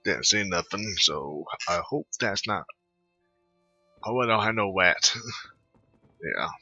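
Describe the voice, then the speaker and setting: A man giving relaxed, rambling commentary while playing a game. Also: deep voice, takes it slowly, husky, no room sound, muffled recording, faint music behind. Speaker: gamer. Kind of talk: casual gameplay commentary.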